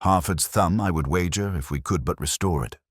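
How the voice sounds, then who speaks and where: A man voicing a shrewd, self-assured gentleman detective in an audiobook, with precise, formal pronouncements. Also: low voice, moderate pace, slightly rough, dry recording, clean studio sound, voice pushed up. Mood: assured, deductive.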